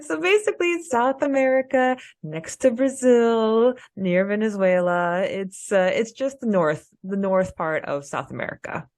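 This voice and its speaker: A woman's voice: medium pitch, measured pace, hardly any pauses, a little mellow, dry recording. A woman co-hosting a podcast in a casual, chatty style. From Canada. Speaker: podcast co-host.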